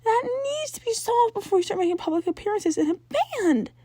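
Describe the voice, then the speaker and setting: A woman's voice: very high-pitched, lively intonation, brisk, mellow, no room sound, studio quality, voice pushed up. A woman voicing a worried, exasperated teenage older sister in a fan fiction reading, emphatic and scolding.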